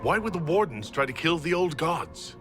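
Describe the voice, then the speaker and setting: A firm heroic leader over music. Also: male voice, on the high side, very animated, normal speed. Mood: serious, probing. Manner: earnest, firm, commanding. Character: resolute heroic inquisitor confronting a villain. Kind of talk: dramatic video game acting.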